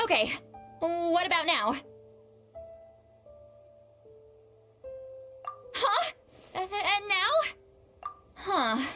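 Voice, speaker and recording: A woman's voice: extremely high pitch, sing-song, slow pace, sharp tone, faint music behind, full character voice. An actress playing a centuries-old but youthful-sounding shaman woman in a video game, guarded, prickly and awkward, with hesitant, melancholy delivery. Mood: flustered. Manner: flustered, hesitant, sighing, turning wistful.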